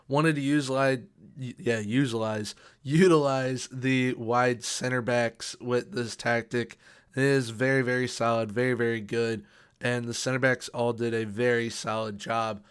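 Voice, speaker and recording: A young man talks through a video game in a casual, upbeat commentary, doubling words for emphasis. His voice is smooth and clean but held in a narrow, flat melody, and it sounds a little worn, as if he has been losing it lately. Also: mid-range voice. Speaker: video game tactics youtuber.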